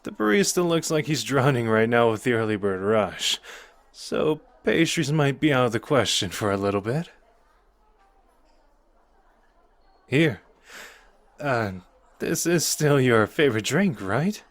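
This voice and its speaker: A male actor plays a cocky young sorcerer in an intimate roleplay. His pitch moves freely as he teases, with an earnest, protective streak underneath. The sound is close and dry. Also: animated, moderate pace, no echo, close to an everyday voice. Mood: playful, casual. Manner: casual, teasing, intimate and conversational. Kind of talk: ASMR roleplay acting addressed to the listener.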